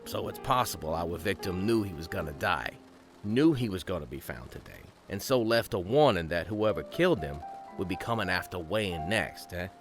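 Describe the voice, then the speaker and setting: An actor playing a gruff, cynical, talkative police detective in an audio drama, sardonic and full of streetwise slang. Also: male voice, deep voice, animated, normal speed. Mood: wry, matter-of-fact. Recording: music behind the voice.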